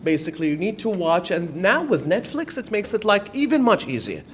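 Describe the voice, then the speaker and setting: A man in the audience of a conference workshop, speaking emphatically and filling pauses with "basically" and "you know". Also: high voice, sing-song, slight background noise. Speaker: audience member, lecturer. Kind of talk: spontaneous comment. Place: conference room.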